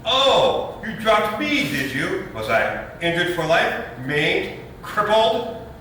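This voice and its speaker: Man acting jovial bullying nephew, emphatic, lively swings in pitch, echoey stage reading. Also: slow pace, exaggerated voice. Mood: mock outrage. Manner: emphatic, teasing. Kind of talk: stage readers' theater acting. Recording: roomy, distant mic, faint rumble.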